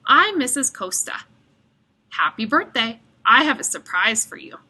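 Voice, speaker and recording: A woman's voice: fairly high pitch, very animated, unhurried, bright, forceful tone, breathy edge, full character voice. A woman voicing a cheerful, obliging woman ambulance worker in a storybook, welcoming and upbeat. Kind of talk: storybook character voice in read-aloud.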